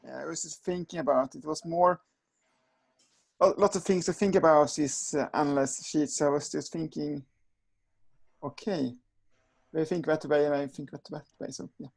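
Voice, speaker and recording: Husky, gravelly man. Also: fairly high pitch, fairly even intonation, moderate pace, pauses often, darkish tone. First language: Swedish. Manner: hesitant, thinking aloud. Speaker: participant. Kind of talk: casual online meeting chat.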